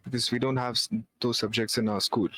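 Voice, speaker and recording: A man's voice: mid-range voice, little pitch movement, fairly quick, fairly bright tone, slight background noise. A young man from India, a philosophy student who challenges and repeats his questions, mocking at times. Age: young adult. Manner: repetitive, challenging, mocking with laughs. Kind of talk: casual debate on video chat.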